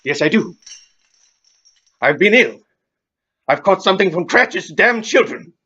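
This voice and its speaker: A man's voice: sits high, expressive, unhurried, bright, forceful tone, husky, dull audio, exaggerated voice. A man playing a stubborn, frightened old miser in an audio play, stammering and theatrical. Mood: flustered denial. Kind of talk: audio play acting of a classic ghost story.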